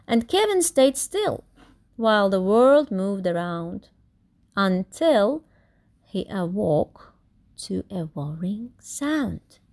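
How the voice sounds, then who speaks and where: A woman reading a children's picture book aloud expressively, with deliberate, theatrical emphasis on key words. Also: mid-range voice, very animated, a little mellow. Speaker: storybook reader.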